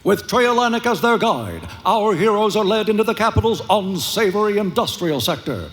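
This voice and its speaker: High-voiced dramatic adventure serial narrator. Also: male voice, big pitch swings, moderate pace, a bit bright. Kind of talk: scripted narration for live audio drama.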